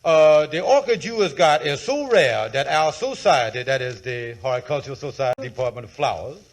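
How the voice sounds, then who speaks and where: An actor playing a sly, smooth-talking schemer in 1940s radio comedy, with grandiose, folksy, ungrammatical speech. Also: male voice, mid-range voice, lively intonation, moderate pace, lightly acted. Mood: smooth, persuasive. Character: Kingfish, sly scheming smooth-talking con man posing as professor. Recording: vintage 1940s radio broadcast.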